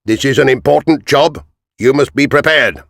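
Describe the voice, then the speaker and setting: A man's voice: mid-range voice, moderate pace, vocal fry, a bit bright, dry recording, clean studio sound, pitched above the performer's own voice. A man reading a storybook aloud, voicing a stern male army captain who gives firm orders. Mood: commanding.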